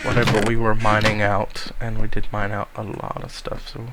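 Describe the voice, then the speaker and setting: A man with a cold giving a casual, rambling tour of a game world, speaking softly to spare his voice. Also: lowish voice, completely flat delivery, normal speed, slight background noise. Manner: rambling, many ums, soft-spoken to spare his voice. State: has a cold. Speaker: gamer giving a server tour.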